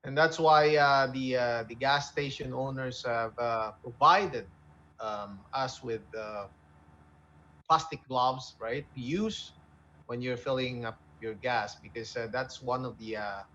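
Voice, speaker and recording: A man's voice: medium pitch, slow, pushed and bright. A man casually explaining things as a co-host, hesitating, repeating words and checking in with tag questions. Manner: checks with "right?", repetitive, hesitant. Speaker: radio co-host. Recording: dull narrow audio, faint background noise.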